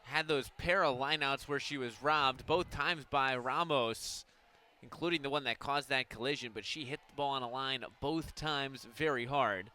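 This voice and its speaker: A man giving conversational, explanatory color commentary on a live softball radio broadcast. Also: mid-range voice, moderate pace, clear, resonant tone.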